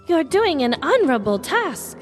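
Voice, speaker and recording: Casting: woman as plucky hobbit stoner lass, very high and swooping, dramatic, comic fantasy audio drama. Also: big pitch swings, moderate pace. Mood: wistful. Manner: dramatic, sing-song, swooping. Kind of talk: comedic fantasy audio parody. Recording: music playing behind.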